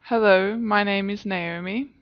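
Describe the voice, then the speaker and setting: Aussie woman, slow and cheerful, lots of ums, muffled sound. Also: medium pitch, fairly even intonation. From Australia. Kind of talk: unscripted answers for a learner video.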